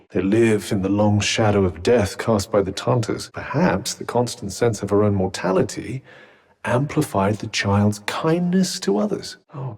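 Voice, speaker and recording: A man's voice: lowish voice, darkish tone, breathy edge, heavily acted. A man voicing a wry, sardonic male talking magic bracelet in video game voice lines, with dry, formal, urbane speech. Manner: urbane, teasing, measured. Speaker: companion character.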